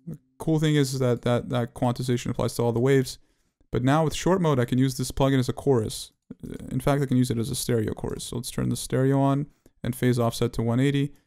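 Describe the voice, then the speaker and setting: A man giving an unscripted tutorial walkthrough, talking in a halting way as he thinks aloud. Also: medium pitch, fairly even intonation, gravelly, warm tone. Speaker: audio plugin demonstrator.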